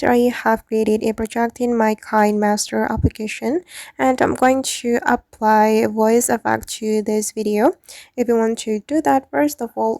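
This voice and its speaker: A woman's voice: fairly high pitch, gravelly, warm tone, no echo, studio quality. A woman hosting a tutorial, narrating instructions step by step in a scripted style. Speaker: tutorial host.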